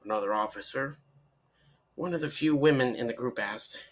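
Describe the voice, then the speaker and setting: A man narrates a horror tale in a husky voice of middling pitch. His dramatic reading is a little halting, as he tells the story in the first person.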